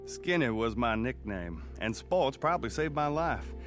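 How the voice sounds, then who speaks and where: A man's voice: medium pitch, sing-song, unhurried, faint music behind. An actor playing a folksy man narrating memories of his rural boyhood in an old-time radio drama. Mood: reminiscent. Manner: plain-spoken, reminiscing storyteller.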